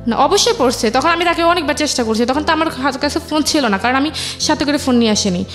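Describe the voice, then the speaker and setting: A young Bangladeshi woman speaks in a fairly high voice, telling a personal story as an interview guest. She goes along haltingly, repeating phrases as she recounts things. Music plays behind her. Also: expressive.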